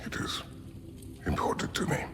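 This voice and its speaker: A man's voice: normal speed, in a whisper, lightly acted. An actor voicing a stern, terse warrior god and father in a video game, speaking solemnly and curtly in formal wording. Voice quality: very deep, gravelly. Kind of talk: video game character acting.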